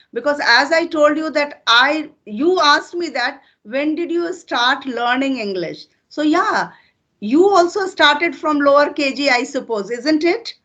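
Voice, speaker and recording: Retired Indian teacher, older woman, Hindi native, patient and unhurried, slightly higher voice. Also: sharp tone. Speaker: retired teacher and channel host. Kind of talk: casual interview conversation.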